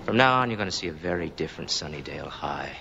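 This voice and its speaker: A man's voice: rumbling low, animated, quick pace, creaky, heavily acted. A middle-aged man acting a stern, contemptuous school principal in a television drama. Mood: stern, scornful. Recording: dull, noisy replayed TV audio.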